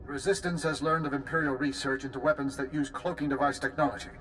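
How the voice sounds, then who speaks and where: An actor playing a grave, urgent colonel in a video game, speaking in an earnest, commanding way. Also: male voice, a little high, steady tone, fast talker, a bit bright, a little hiss or hum, natural voice. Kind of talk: video game cutscene acting.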